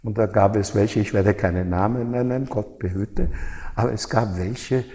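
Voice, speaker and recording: A man's voice: lowish voice, fairly even intonation, dark tone. Older Austrian man in a reverberant hall. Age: older, over 70. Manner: halting, repeats and reformulates phrases. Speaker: interviewee, former journalist. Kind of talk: reflective interview recollection.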